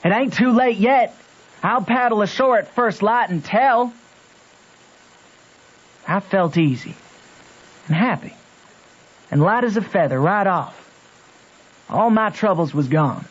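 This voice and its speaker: A man's voice: high voice, slow, pauses often, breathy edge, exaggerated voice. A man playing a conscience-torn backwoods boy with a Southern American accent and folksy, earnest speech in an old-time radio drama. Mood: troubled. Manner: folksy, earnest, ungrammatical rustic talk. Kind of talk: old-time radio drama, first-person narration and dialogue. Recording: dull old radio recording.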